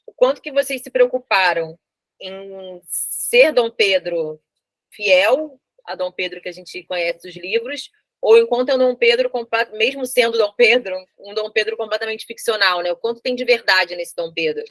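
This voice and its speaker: A Brazilian woman casually putting questions as an interviewer in a relaxed discussion. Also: mid-range voice, normal speed, dry recording.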